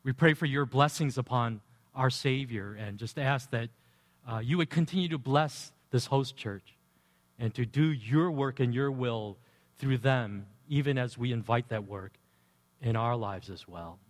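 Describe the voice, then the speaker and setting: A man speaking as a pastor, addressing his congregation. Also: unhurried. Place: large church sanctuary. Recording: long hall reverb, booming sound system.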